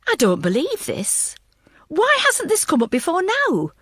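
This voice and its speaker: A woman voicing an exasperated senior female detective, brisk and incredulous, in an audiobook. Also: very high voice, huge pitch swings, a bit bright, no echo, clean studio sound, pitched above the performer's own voice, full character voice. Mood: shocked, impatient.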